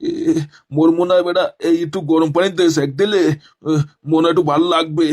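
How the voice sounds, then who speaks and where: A man voicing a foul-mouthed old grandfather in a comic cartoon, halting, stammering and groaning with backache. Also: a little high, fairly even intonation, natural voice. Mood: pained, halting.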